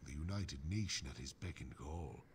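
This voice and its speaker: An actor playing a bitter, imprisoned rebel in a video game, speaking in a grave, accusing way. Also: male voice, a bass voice, expressive, normal speed. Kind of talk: dramatic video game dialogue. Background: game music.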